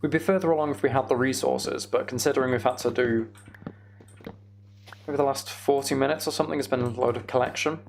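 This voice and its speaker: A man's voice: medium pitch, steady tone, fast, vocal fry. A man streaming a video game, chatting casually and thinking aloud in a rambling way.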